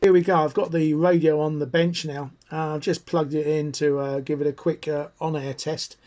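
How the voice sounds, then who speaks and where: A man's voice: a little high, level tone, moderate pace, a little mellow, no room sound. A middle-aged British man giving a casual, chatty, enthusiastic hands-on review as a hobbyist presenter. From United Kingdom. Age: middle-aged or older, over 40. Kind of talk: casual product review and demonstration.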